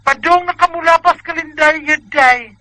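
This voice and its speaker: A woman's voice: sits high, normal speed, pushed and bright, poor audio. A woman with a Bisaya Filipino accent playing a blunt, nosy married friend in a radio drama, scolding and exclamatory. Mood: scolding, teasing.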